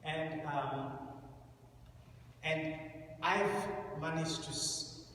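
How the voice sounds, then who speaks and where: A man in his thirties tells his own story to an audience in a large hall, halting and earnest, stopping and restarting often. He sounds set back from the mic, and the long ringing reverb and steady noise of the room carry behind him. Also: a little high. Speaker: asylum seeker telling his story at an event. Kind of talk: personal testimony to an audience. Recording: echoey hall, distant mic, noisy.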